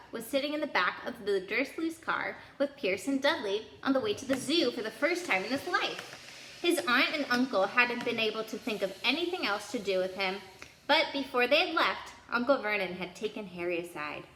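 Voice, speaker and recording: A woman reading a children's story aloud in an expressive storytelling style. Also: a little high. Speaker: story-time reader. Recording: echoey room with faint background noise.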